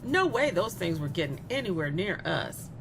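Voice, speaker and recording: A woman's voice: fairly low pitch, very animated, gravelly, background noise. A woman playing a gleeful, remorseless young killer in a horror audio story, chatty and flippant, stretching words for emphasis. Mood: gleeful, mocking. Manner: conversational, flippant, giggly, with drawn-out emphasis. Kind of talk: dramatic first-person monologue in a horror audio story. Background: music.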